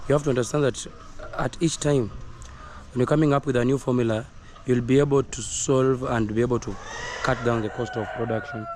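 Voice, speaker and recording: Hoarse Kenyan farmer, earnest, repetitive coach; mid-pitched voice, roosters and farm noise behind. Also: male voice. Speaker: poultry farmer. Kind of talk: casual instructional vlog. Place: outdoors on a poultry farm. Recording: noisy outdoor recording with roosters crowing.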